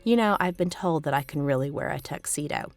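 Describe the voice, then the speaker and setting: Low, dry, teasing rich boy voiced by a woman. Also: lively intonation, a little fast, no room sound, soft background music. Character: wealthy confident teenage boy, teasing and wry.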